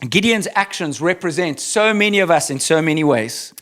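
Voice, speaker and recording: South African male pastor, conversational preaching with pauses for interpretation, candid and self-deprecating. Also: fairly high pitch, lively intonation, a bit bright. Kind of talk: sermon with live interpreter.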